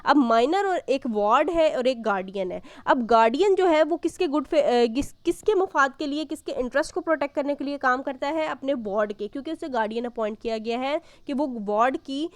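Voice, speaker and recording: A woman's voice: sits high, lively intonation, brisk, few pauses, dry recording. A woman giving an explanatory law lesson, didactic, rephrasing points and mixing in English terms. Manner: didactic, repeats and rephrases points, poses questions and answers them; mixes in English legal terms. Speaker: law lecturer.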